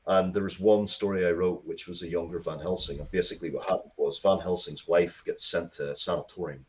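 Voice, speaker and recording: A man with a very low voice talks casually as a guest writer in an interview. He rambles in a conversational, blunt way, spinning out explanations of his own creative work. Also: fairly even intonation, a little fast, a little hiss or hum. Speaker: interviewed writer of comics and stories.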